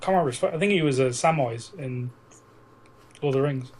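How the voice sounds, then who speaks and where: A man's voice: mid-range voice, fast talker, darkish tone, rough recording. A man co-hosting a casual podcast, with sweary, irreverent banter. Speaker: podcast co-host.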